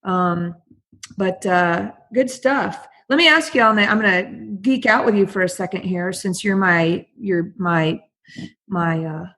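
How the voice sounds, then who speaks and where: Host: playful, excitable woman with a husky, breathy voice. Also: mid-range voice, a little slow. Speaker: podcast host. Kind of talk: casual interview chat.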